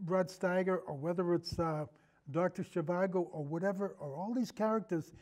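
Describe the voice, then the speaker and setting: A man's voice: high-pitched, normal speed, darkish tone. A man speaking as a podcast guest in a casual film discussion, rambling earnestly and repeating himself as he goes.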